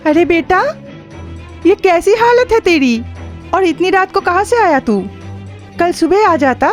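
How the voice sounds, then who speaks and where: Actress as uneasy, caring mother in horror cartoon: high motherly voice over faint music. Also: animated, unhurried. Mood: surprised concern. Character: concerned middle-aged village mother, soothing yet uneasy. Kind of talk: Hindi cartoon horror story acting.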